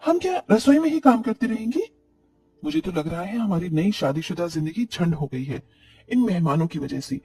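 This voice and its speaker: A man voicing an overworked, resentful young Indian daughter-in-law in an animated story, complaining indignantly. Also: high voice, normal speed, slightly rough, distant mic, heavily acted. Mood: fed up.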